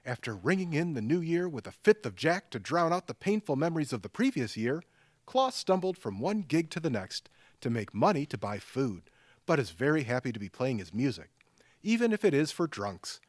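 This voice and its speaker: Man acting wry older brother, animated delivery. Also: on the high side, normal speed, no room sound. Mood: sardonic. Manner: dry, teasing humor. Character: wry, supportive, sardonic older brother, a struggling musician. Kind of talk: audio drama dialogue.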